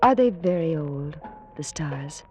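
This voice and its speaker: A woman's voice: deep voice, expressive, a little slow, over music. A woman acting a passionate young noblewoman of ancient Egypt in an old-time radio romance, with formal, theatrical delivery. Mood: tender, wistful.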